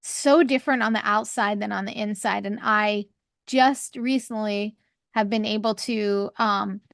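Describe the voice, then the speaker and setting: A woman with a higher voice, co-hosting a podcast and talking openly in a rambling, candid way. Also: fairly even intonation, measured pace, gravelly, dry recording, studio quality. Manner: rambling, restarts, laughs often. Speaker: podcast co-host. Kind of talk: candid conversational chat.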